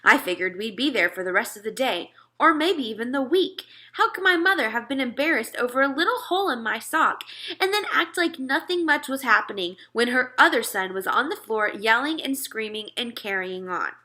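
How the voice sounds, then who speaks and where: A woman narrating a children's story as an expressive read-aloud. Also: a little high, normal speed.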